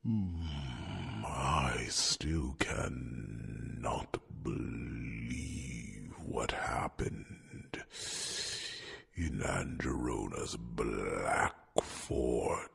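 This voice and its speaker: An ancient, fatherly dragon with an abyssally low voice that crackles with heavy gravel. He speaks very slowly and tenderly, pausing for low hums, like a proud mentor in a fantasy ASMR roleplay. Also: a bit bright, heavily acted. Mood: awed, proud. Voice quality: very deep gravelly breathy rasp.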